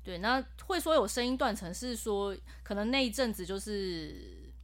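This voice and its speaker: A woman voice actor, explaining her work in a casual podcast chat. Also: mid-range voice. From Taiwan. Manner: fast, explanatory and animated.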